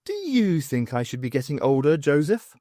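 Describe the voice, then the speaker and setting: A man's voice: on the high side, huge pitch swings, moderate pace, mellow, dry recording, studio quality. A man voicing a bleary, sardonic female college rector in an audiobook, with a weary, informal manner. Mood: wry. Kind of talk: audiobook character voice.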